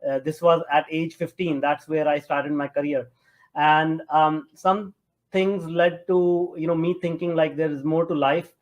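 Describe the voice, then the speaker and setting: Higher-voiced North Indian man, halting delivery. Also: steady tone, poor audio. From Punjab, northern India. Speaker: interview guest, tech entrepreneur. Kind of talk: conversational interview answers.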